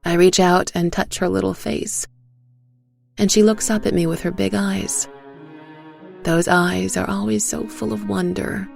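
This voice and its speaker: Slow, creaky-voiced woman narrating a story. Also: medium pitch, fairly even intonation, darkish tone, faint music behind. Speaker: narrator of a fiction podcast. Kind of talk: scripted first-person story narration.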